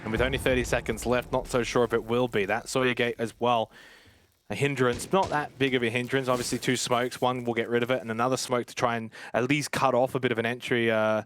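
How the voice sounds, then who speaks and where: A man works as an esports commentator, giving live analysis of a video game match. His voice sits at a middling pitch. There is faint noise behind him, with some clicking.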